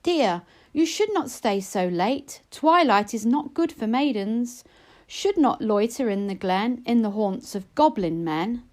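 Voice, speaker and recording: A woman's voice: fairly high pitch, animated, unhurried. Woman playing a cautious, anxious young maiden. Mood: reproachful. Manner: urgent, admonishing. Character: cautious, anxious maiden warning her sister.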